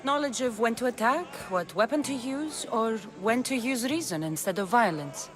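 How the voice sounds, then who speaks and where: A woman's voice: a little high, expressive, moderate pace, close to an everyday voice. An actress playing a tough, guarded young Greek mercenary woman in a video game, dry and self-assured. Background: game music.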